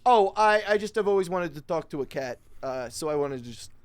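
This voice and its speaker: A woman playing a chatty, flustered, easily fooled castle guard in a halting, informal way. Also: deep voice, lively intonation. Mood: hesitant, yielding.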